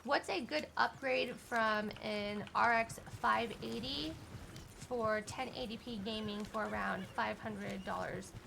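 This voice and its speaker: A woman's voice: on the high side, slow pace, vocal fry, noisy background. A young woman reading chat on a live stream, talking playfully and casually. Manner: playful, lively.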